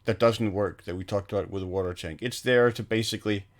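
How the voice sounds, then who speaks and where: A man's voice: fairly low pitch, fairly even intonation, fast talker. A man co-hosting a film podcast, talking casually and rambling with fillers and restarts.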